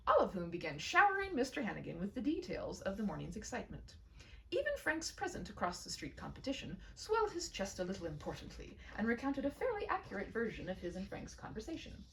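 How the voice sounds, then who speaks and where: A woman reading a humorous short story aloud as an expressive storyteller. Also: medium pitch, quick pace, gravelly, recorded from a distance, a little hiss or hum, low-quality recording.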